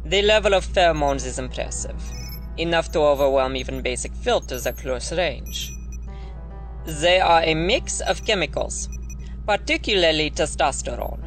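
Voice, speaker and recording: Higher-voiced clinical scientist played by a man. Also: fairly bright tone. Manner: precise, detached, reporting. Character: clinical, matter-of-fact male lab scientist. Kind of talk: audio drama acting.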